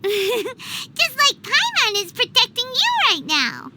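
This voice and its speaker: Tiny bossy fairy sidekick for a game: squeaky, very high, unhurried delivery, loud noise behind. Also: female voice, huge pitch swings, heavily acted. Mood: chirpy explaining. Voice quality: squeaky, childlike. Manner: exclamatory, refers to self in third person. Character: tiny excitable childlike fairy companion, cute and bossy. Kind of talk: video game character acting.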